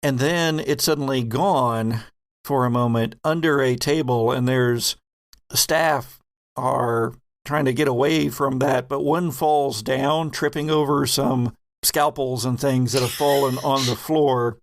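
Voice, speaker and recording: A man's voice: medium pitch, fairly even intonation, measured pace, dry recording, clean studio sound. A man narrating a horror sci-fi tabletop game as game master, with wry, vivid description.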